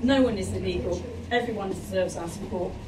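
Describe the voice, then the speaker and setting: A woman's voice: medium pitch, brisk. A woman working for a refugee charity, giving an earnest, campaigning speech in a crowded art gallery. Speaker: charity worker and event organiser. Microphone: far camera. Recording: roomy, distant, crowd noise.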